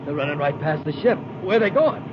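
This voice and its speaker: A man's voice: a little high, lively intonation, quick pace, lightly acted. An actor playing a wary, anxious villain's henchman in a 1950s American radio serial, questioning and uneasy in manner. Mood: nervous. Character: nervous, wary villain's henchman, adult man. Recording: muffled, very noisy 1950s radio recording.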